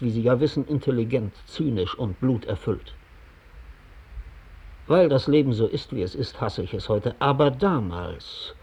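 Husky, bitter ex-informant. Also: male voice, medium pitch, a little mellow, lo-fi sound. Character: bitter, reflective male former Gestapo informant recounting his past. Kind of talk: mid-century radio drama monologue.

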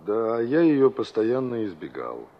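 An actor playing an impatient, hot-headed young carpenter, brusque and defensive, in a Soviet radio drama. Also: male voice, medium pitch, wildly swooping pitch, measured pace. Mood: exasperated. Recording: muffled 1960s radio play recording.

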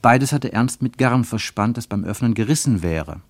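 A man's voice: mid-range voice, expressive, normal speed, dry recording. A man reading scripted literary narration for a radio play, as its narrator.

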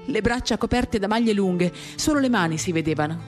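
A woman giving an intimate, measured dramatic reading of a literary text, pausing between short phrases. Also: mid-range voice, lively intonation, a little mellow. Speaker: reader of a novel. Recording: guitar music under the voice. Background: acoustic guitar.

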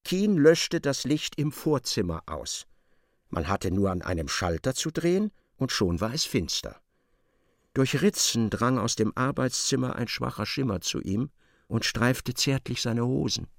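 A man's voice: mid-range voice, sing-song, a little slow, gravelly, no room sound, studio quality. A man narrating a literary radio play in a dramatic, scripted style.

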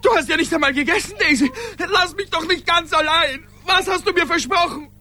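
A high-pitched, desperately devoted man. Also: bright, forceful tone, full character voice. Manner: pleading, halting, repeats himself. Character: desperate, devoted everyman lover clinging to his humanity. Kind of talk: German radio play acting.

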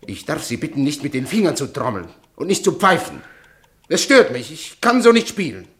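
Snooty chess champ in a radio play, highish voice, really singsong, pedantic and formal. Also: male voice, wildly swooping pitch, moderate pace, fairly bright tone. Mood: irritated, pedantic. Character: cold, slow, smugly arrogant male chess champion. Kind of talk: radio drama acting.

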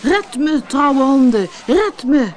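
A woman's voice: sits high, expressive, slow, a little hiss or hum, pitched above the performer's own voice. A woman voicing a young male soldier in a storybook, poor, hopeful and lovestruck, speaking eagerly and expressively. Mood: desperate. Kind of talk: storybook character voice.

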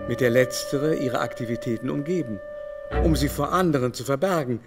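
An actor in a German radio play voicing a vain, haughty older baron with a mannered, theatrical, confiding delivery. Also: male voice, medium pitch, natural voice. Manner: mannered, theatrical, drawn-out emphasis.

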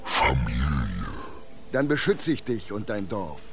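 An actor playing a domineering monster posing as a dead husband, coaxing and threatening in a German radio drama. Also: male voice, on the low side, huge pitch swings, loud music behind the voice. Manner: slow insinuating threats and coaxing, echoes own words.

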